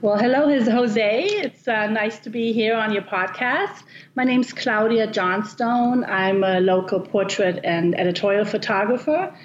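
A woman's voice: fairly high pitch, measured pace. A woman from Germany, a guest on a podcast, talking casually and hesitantly with many fillers. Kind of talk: casual interview chat. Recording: slightly dull, roomy sound with faint noise.

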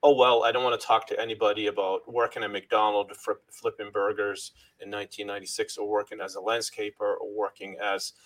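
A middle-aged man from Lebanon with a somewhat low voice, speaking as an interview guest. He talks earnestly and from the heart, circling back and restarting phrases as he goes, and thanks God along the way. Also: little pitch movement, a little fast, few pauses. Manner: religious, thanks God often. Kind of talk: personal story in an interview.

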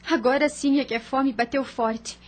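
Radio play: gentle but firm young heiress, high voice, composed and polite. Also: female voice, steady tone, moderate pace. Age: young adult. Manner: composed and formal, curt when displeased. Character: Maria, young woman heir of a farm, gentle but firm. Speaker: actress. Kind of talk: radio drama acting.

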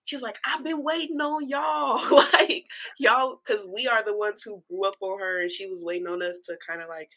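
Bright, forceful-voiced woman on a podcast. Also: medium pitch, moderate pace, few pauses. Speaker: podcast panelist. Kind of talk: casual group podcast chat.

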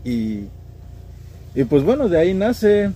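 A man's voice: high voice, very animated, slow pace, warm tone, a little hiss or hum. A young man with a central Mexican accent, telling stories in a casual, slangy way as a podcast guest. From State of Mexico, Mexico. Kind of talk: casual conversational storytelling.

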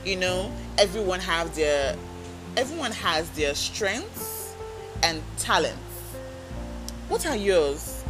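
A woman's voice: fairly low pitch, low-quality recording. A woman hosting a self-help podcast, talking earnestly and coaching listeners with direct questions. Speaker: podcast host. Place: talking alone into a microphone.

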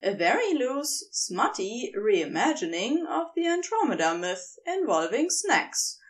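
A woman reading a scripted story aloud as narrator, with expressive, measured storytelling. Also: on the high side, recorded from a distance. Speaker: podfic reader.

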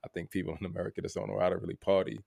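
A man co-hosting a podcast in a casual, reflective way, halting and repeating words, often checking in with "right?". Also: little pitch movement, dark tone, dry recording, clean studio sound. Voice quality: gravelly low voice. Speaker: podcast co-host.

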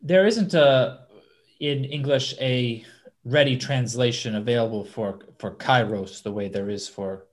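A man interviewing a guest, asking questions in a halting way with frequent restarts. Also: medium pitch.

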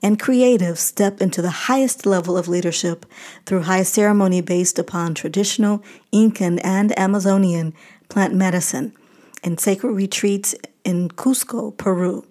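A woman's voice: lowish voice, darkish tone. A woman hosting a podcast, introducing her guest and asking interview questions.

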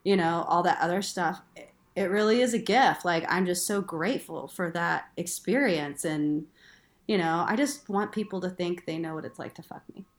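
A woman's voice: lowish voice, moderate pace. Playful, blunt woman in candid chat. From Texas, United States. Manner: playful, blunt, self-deprecating, swears freely. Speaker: interview guest, burlesque performer and instructor. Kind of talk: casual, candid conversation.

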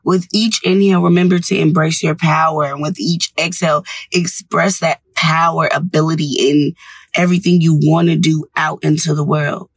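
A woman's voice: low voice, fairly even intonation, normal speed, husky, dry recording. A woman hosting a radio show, delivering a scripted motivational monologue in an encouraging, instructive manner.

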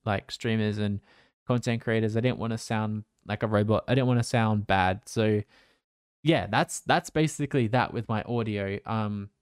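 A man, a streamer, giving casual advice in a relaxed, rambling way with fillers and restarts, talking into a condenser desk mic. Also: on the low side, moderate pace, clear, resonant tone, dry recording, clean studio sound. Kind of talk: casual advice talk to camera. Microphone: condenser desk mic with pop filter.

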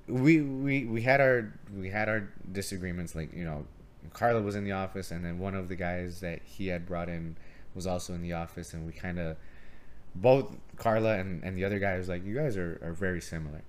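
Low-voiced middle-aged man, gym-owner coach type, strong carrying voice, animated storyteller. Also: brisk. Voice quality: strong voice that carries. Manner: animated storyteller, acts out quoted arguments. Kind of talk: casual podcast storytelling.

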